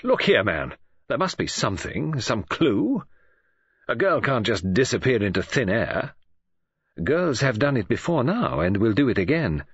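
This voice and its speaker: A man's voice: medium pitch, big pitch swings, a little slow, breathy edge, no room sound, highs cut off, exaggerated voice. A man narrating an audiobook voices an anxious, desperate middle-aged father who speaks urgently in a raised voice. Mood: agitated. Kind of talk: audiobook character voice.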